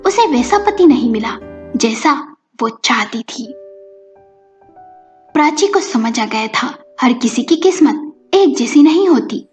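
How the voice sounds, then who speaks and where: A woman's voice: sits high, expressive, slow pace, a little mellow. A woman storyteller narrating a scripted story. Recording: dull lo-fi audio with music. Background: background music.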